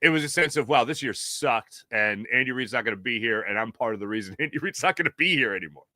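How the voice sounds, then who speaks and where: Bright-voiced American man hosting sports talk. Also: mid-range voice, lively intonation, quick pace, dry recording, clean studio sound. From Philadelphia area, United States. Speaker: sports talk show host. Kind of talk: casual sports talk monologue.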